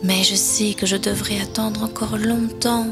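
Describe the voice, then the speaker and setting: A woman voicing a small, wistful, easily upset little fir tree in a children's storybook, plaintive and expressive. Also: on the high side, unhurried, music in the background, lightly acted.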